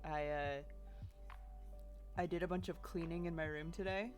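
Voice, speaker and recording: A young woman with a slightly low voice chats on stream from her bedroom, sniffly from dust. She rambles and laughs as she talks, over faint background music. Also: steady tone, moderate pace. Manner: rambling, chatty, laughs often, reads chat aloud. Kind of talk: casual chat while drawing on stream. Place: home bedroom.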